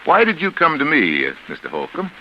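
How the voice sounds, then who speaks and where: An actor playing a grave, authoritative psychiatrist in 1940s radio drama style, with formal, measured, theatrical diction. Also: male voice, on the high side, wildly swooping pitch. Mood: professional, attentive. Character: grave, articulate male psychiatrist, smoothly authoritative husband. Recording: muffled, noisy vintage radio recording.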